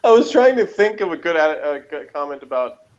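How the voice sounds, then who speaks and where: A man's voice: a little high, very animated, moderate pace, highs cut off. An American man chatting casually and jokingly as he co-hosts a review, in a wry manner. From United States. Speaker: co-host of a TV review show. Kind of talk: casual jokey review chat.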